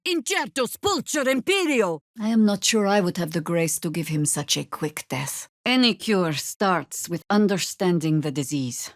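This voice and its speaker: A woman playing a seasoned older druid warrior in a video game, wry and commanding, with dry, formal, archaic phrasing and a measured, weathered delivery. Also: on the low side, sing-song, dry recording. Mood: dry, reflective. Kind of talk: video game character acting.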